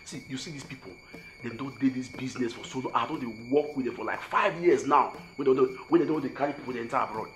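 An actor playing a persuasive, hot-headed Nigerian hustler in a film drama, emphatic and repeating himself for force. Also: male voice, mid-range voice, quick pace, a bit bright, slight background noise, lightly acted. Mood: smooth-talking. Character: hustling Nigerian man, persuasive, quick to threaten. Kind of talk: film drama acting in Nigerian Pidgin and English. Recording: roomy echo, music underneath.

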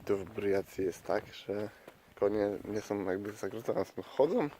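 A man's voice: lowish voice, moderate pace, mellow. A man from Poland chatting casually and unscripted as a travel vlogger, outdoors. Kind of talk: casual vlog chat, unscripted. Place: outdoors by a lake. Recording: noisy outdoor recording with clicks.

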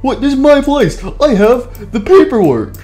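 A man's voice: high-pitched, unhurried, mellow, soft background music. A man voicing a whiny, easily offended icy snow creature in a childish, stammering comic skit. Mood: outraged. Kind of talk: improvised comic character skit.

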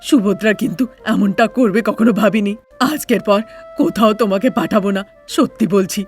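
A woman's voice: mid-range voice, moderate pace, faint music behind, lightly acted. A woman voicing a middle-aged mother-in-law in a cartoon tale, apologetic and reassuring. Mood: contrite. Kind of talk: cartoon fairy-tale character acting.

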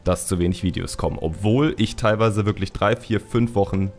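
Low-pitched man chatting casually. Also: normal speed, a little mellow, soft background music. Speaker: podcast host and YouTuber. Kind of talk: casual podcast chat.

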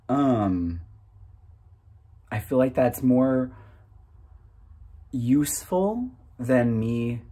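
A man in his thirties hosting his own channel, talking casually and a little self-consciously in a halting, informal way. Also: mid-range voice, a little mellow, husky. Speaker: host of a reading channel. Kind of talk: casual, unscripted chat to camera.

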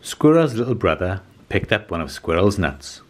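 A man in his forties reading a children's storybook aloud as scripted narration, in a measured, expressive way. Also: deep voice. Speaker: children's storybook reader and host.

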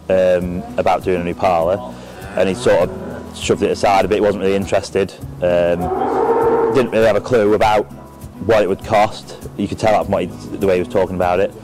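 An English farmer in his thirties talks in an interview. His voice is low and flat in melody, with music clearly underneath. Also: brisk, rough recording. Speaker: dairy farmer. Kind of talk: interview testimonial. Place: open cattle shed. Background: background music.

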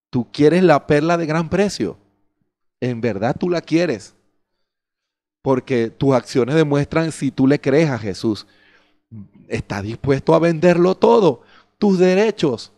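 A man's voice: mid-range voice, warm tone. A middle-aged man preaching a sermon from a church stage into a handheld microphone, firing rhetorical questions at the congregation and acting out their excuses. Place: church stage before a curtain. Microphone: handheld mic.

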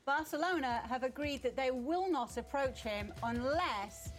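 Female sports presenter, fairly high voice. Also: a little slow, soft background music. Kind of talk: polished broadcast hosting. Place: television studio.

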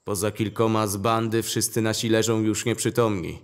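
Male actor as practical forest sprite fighter for radio play; lowish, steady-tempered. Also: normal speed, close to an everyday voice. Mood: matter-of-fact. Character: level-headed male forest sprite warrior.

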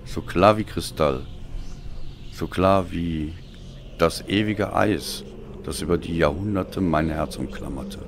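A man reading a dark audio story as a dramatic narrator, with a brooding, solemn delivery. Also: low voice, slow pace, background noise. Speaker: audiobook reader.

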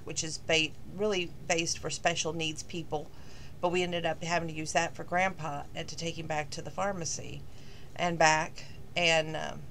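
A middle-aged woman, slightly hoarse from losing her voice, rambling casually in a talking-to-camera vlog with lots of fillers. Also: low voice, a bit bright, slight background noise. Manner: rambling, chatty, with many fillers. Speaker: vlogger.

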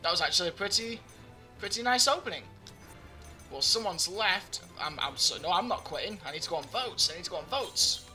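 A man's voice: high-pitched, expressive, a little fast, pushed and bright, faint music behind. A young man giving live gameplay commentary, chatty and joking, his voice slightly groggy. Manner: chatty, joking, talks to himself while playing. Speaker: gaming commentator.

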